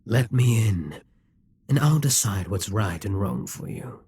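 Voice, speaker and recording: Guy doing a possessive demon king in an audio roleplay, low breathy voice, super slow, coaxing and whispery. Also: animated, warm tone, no room sound, clean studio sound, full character voice. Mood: hypnotic. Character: possessive scheming male demon king, calm and ruthless.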